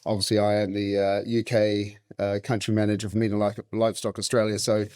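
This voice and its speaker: A man's voice: on the low side, completely flat delivery, fairly quick, a little mellow, clear, resonant tone, dry recording, clean studio sound. A middle-aged man with a New Zealand accent, telling stories casually as an interview guest. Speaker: interview guest, meat specialist and butcher. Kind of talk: casual conversational storytelling.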